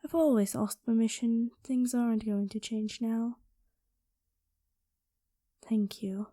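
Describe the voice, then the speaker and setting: A woman voicing a gentle, lovestruck dragon queen in an ASMR roleplay, speaking softly and intimately with formal courtly phrasing, often slipping into whispers, close on the microphone. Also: fairly high pitch, fairly even intonation, slow pace, no room sound. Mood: relieved, delighted. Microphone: close microphone, dry sound.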